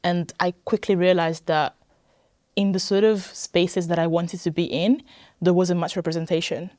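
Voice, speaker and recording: A woman's voice: fairly low pitch, flat delivery, normal speed, darkish tone, no room sound, studio quality. A woman in her twenties from Italy, chatting casually and emphatically as a guest in an interview. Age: 20s. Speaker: podcast guest, weight loss programme member.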